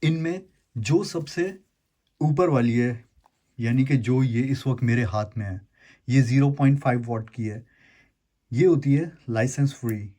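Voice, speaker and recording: Husky, mellow man chatting through an unboxing. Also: mid-range voice, a little slow, long pauses. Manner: informal, mixes English words into speech. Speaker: YouTube host unboxing and reviewing gadgets. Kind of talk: casual chatty unboxing explainer.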